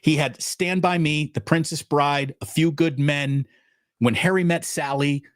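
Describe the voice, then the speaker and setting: A man's voice: on the high side, steady tone, unhurried, no room sound, clean studio sound. A man co-hosting a casual sports talk show. He is emphatic and repeats phrases for emphasis.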